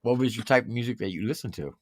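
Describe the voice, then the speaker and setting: Very deep-voiced playful woman host. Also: racing, no echo, studio quality. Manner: playful, teasing, lively reactions. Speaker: show host, interviewer. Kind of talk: casual live interview.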